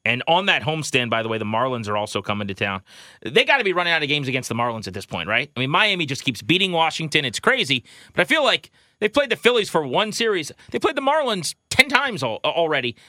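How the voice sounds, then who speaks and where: A man hosts a baseball podcast with energetic delivery. His voice is very bright and punchy, sitting a little high, and the sound is dry and close. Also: fast, no echo. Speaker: sports podcast host. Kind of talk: energetic baseball show talk.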